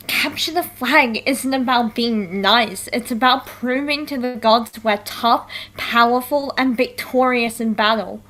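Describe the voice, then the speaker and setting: A woman's voice: a little high, slow pace, bright, forceful tone, low-quality recording. A woman playing a bossy young strategist, daughter of a wisdom goddess, exasperated and commanding in a musical theatre table read. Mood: stern.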